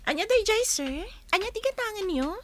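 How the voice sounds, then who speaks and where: An actress playing a friendly, chatty young cake shop clerk in a radio drama. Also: female voice, very high voice, lively intonation, brisk, slight background noise. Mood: helpful.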